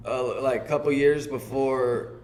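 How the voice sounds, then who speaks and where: A man with a Californian accent, a guest in a casual conversation, telling stories in a relaxed, rambling way. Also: medium pitch, measured pace.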